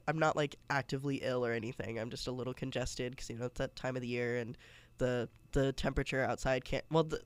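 Young male podcast host, congested, chatty and rambling, smooth clear tone. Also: mid-range voice, no room sound. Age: young adult. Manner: chatty, rambling, many fillers and restarts. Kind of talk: casual solo podcast.

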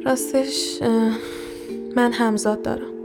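A woman's voice: on the high side, steady tone, music in the background, close to an everyday voice. A woman voicing a serious young friend who confides secrets earnestly and hesitantly in an audio story. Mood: grave, confiding.

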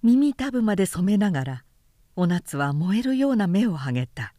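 A woman's voice: medium pitch, sing-song, normal speed, warm tone, no room sound, studio quality. A woman narrating a literary audiobook in a composed manner with careful diction.

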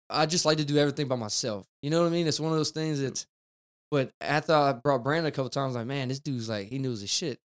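A young man talks loosely as a podcast guest, rambling and tossing in "dude" and "man". His voice is smooth and clear, sitting at a middle pitch for a man. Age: 20s. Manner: rambling, laid-back, peppered with "dude" and "man". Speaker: guest, car detailer. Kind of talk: casual podcast chat.

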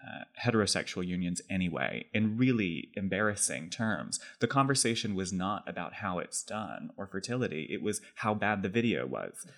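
Deep-voiced articulate man. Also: very animated, gravelly, fairly bright tone. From Texas. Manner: articulate, measured. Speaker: podcast guest. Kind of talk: reflective conversation.

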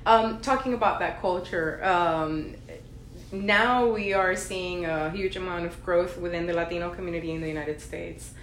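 A woman's voice: fairly low pitch, moderate pace, fairly bright tone. A woman hosting an interview, attentive, asking questions and often backing up her guests with "mm-hmm.". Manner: attentive, frequent "mm-hmm" backchannels. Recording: roomy echo, faint noise.

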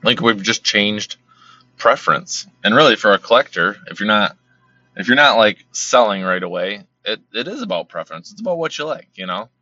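A man's voice: fairly low pitch, sing-song, moderate pace, bright, forceful tone, dry recording. A man talking casually about card collecting, rambling and repeating himself, and losing his voice. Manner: rambling, repeats words, many fillers. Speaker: card collecting channel host. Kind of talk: casual monologue. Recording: slightly dull lo-fi sound.

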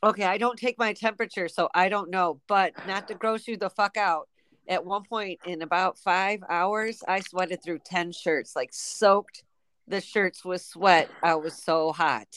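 A woman's voice: lowish voice, unhurried, fairly bright tone. A woman chatting casually and bluntly, swearing freely as she swaps stories about being sick. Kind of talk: casual chat.

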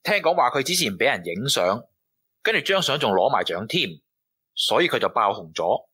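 A man's voice: mid-range voice, sing-song, normal speed, sharp tone, husky, exaggerated voice. A man acting a cagey, streetwise informant in a radio drama, using slangy Hong Kong Cantonese. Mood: cagey, knowing.

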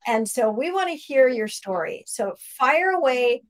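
Higher-voiced woman hosting an interview. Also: lively intonation, unhurried, a little hiss or hum, low-quality recording. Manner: effusive, affirming way of talking. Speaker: interview host. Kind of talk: conversational faith interview.

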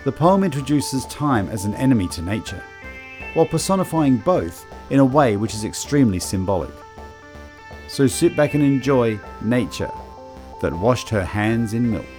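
A man's voice: mid-range voice, very animated, moderate pace. Male presenter over guitar music. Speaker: programme presenter. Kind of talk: scripted introduction and credits. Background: music with plucked guitar.

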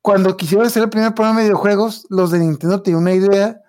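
A man's voice: sits high, fairly even intonation, rapid-fire, a little mellow. A man, co-hosting a casual podcast chat, joking and slangy, often acting out little dialogues. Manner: joking, slangy storyteller, imitates voices. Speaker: podcast co-host.

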